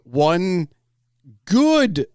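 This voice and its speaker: A man chatting casually as a podcast host, telling anecdotes in an animated way and acting out imagined quotes. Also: high voice, very slow, clean tone, dry recording, clean studio sound.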